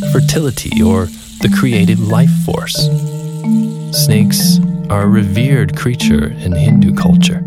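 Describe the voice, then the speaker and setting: A man narrating a scripted folktale as a storyteller, deliberate and dramatic with pauses. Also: fairly low pitch. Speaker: storyteller, podcast host. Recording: music behind the voice. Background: music.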